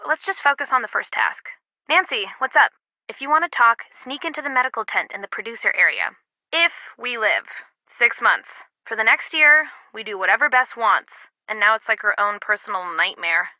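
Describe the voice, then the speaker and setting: An actress playing a brisk, wry young woman, a loyal friend and teammate, in a casual video game delivery. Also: on the high side, expressive, pushed and bright, no echo, poor audio. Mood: focused, wry.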